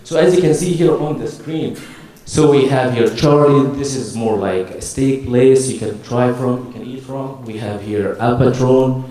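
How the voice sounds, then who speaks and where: A man's voice: medium pitch, moderate pace, dark tone. A man from Egypt with an Egyptian accent gives a casual, humorous presentation to an audience, telling stories with acted-out dialogue. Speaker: university staff presenter. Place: large echoey room before an audience. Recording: very echoey hall, distant microphone.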